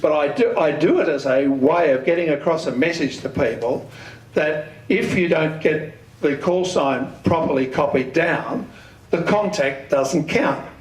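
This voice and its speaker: Older man, fairly high-pitched voice, deliberate emphatic teaching, roomy echo. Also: lively intonation. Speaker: speaker giving an amateur radio talk. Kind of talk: instructional lecture with questions to the audience. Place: community centre meeting room. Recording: echoey hall, distant microphone.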